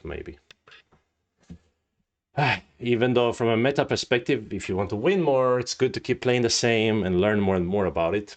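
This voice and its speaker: Male go streamer, lowish voice, stuffy nose, muses aloud and quizzes himself while solving problems. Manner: thinks aloud, musing and casual, asks himself questions. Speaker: go teacher and streamer.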